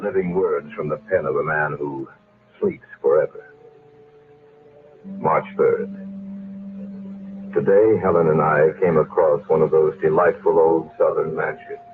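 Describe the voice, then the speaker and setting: Deep-voiced, solemn radio storyteller. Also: male voice, steady tone. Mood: grave, haunted. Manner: solemn, measured, portentous. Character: grave man reading a dead friend's diary aloud. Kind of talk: old-time radio dramatic narration. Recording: muffled, noisy old radio recording.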